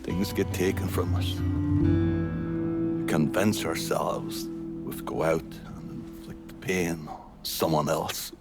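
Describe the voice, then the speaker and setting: An actor playing a bitter, foul-mouthed middle-aged Irish gangster in a video game, with a brooding delivery and an Irish accent. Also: male voice, low voice, fairly even intonation, vocal fry, heavily acted. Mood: reflective, weary. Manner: slow, brooding, drawn-out delivery. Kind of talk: cinematic video game acting. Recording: music behind the voice.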